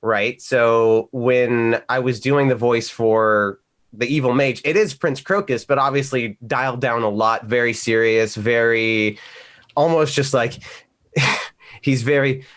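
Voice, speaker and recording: A man's voice: mid-range voice, slow pace, a bit bright. A man who works as a voice actor, talking casually on a remote panel and telling stories with acted-out asides. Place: remote video call.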